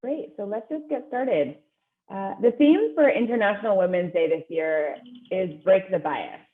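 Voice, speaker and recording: A woman's voice: mid-range voice, moderate pace. Upbeat woman interviewer on a tinny line. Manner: upbeat, polite, reading prepared questions. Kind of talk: scripted interview questions. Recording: tinny call audio.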